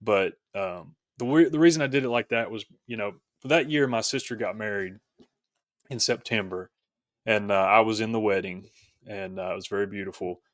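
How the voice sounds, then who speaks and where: A man's voice: on the low side, moderate pace, fairly bright tone, no room sound, studio quality. A man speaking as a podcast guest, telling a personal story casually in a reflective, somewhat hesitant way.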